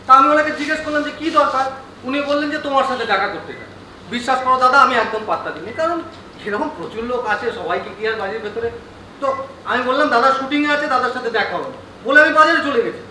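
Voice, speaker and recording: A man's voice: extremely high pitch, lively intonation, fast talker, pushed and bright, heavily acted. Humble, talkative manservant, male stage actor, emphatic and piercing, distant miking in an echoing theatre. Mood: pleading, explanatory. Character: talkative, humble male servant of a film star, theatrically earnest. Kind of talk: projected stage drama acting. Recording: echoey theatre hall, distant mic, faint noise.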